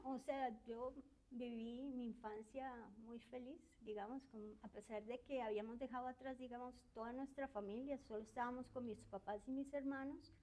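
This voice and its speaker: A middle-aged woman from Argentina and Uruguay, a university academic reflecting in an interview, shy and soft-spoken with frequent tag questions and hesitations. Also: a little high, rough recording.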